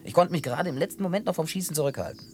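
An actor dubbing a man who tells a long story in a laid-back way with gruff humor. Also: on the high side, rapid-fire, a little hiss or hum, close to an everyday voice. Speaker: lead character in a dubbed film. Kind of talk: dubbed film acting.

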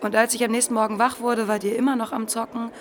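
A woman's voice: on the high side, little pitch movement, fast, lightly acted. A woman playing a cool, wounded, accusing teenage daughter in a naturalistic radio play, speaking with hesitant defiance. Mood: hurt, accusing. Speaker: radio drama actress.

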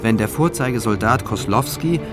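A man's voice: medium pitch, expressive, moderate pace, lightly acted. An actor playing a resolute, introspective prosecutor, narrating his investigation in the first person for a radio drama. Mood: reflective, suspicious. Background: music.

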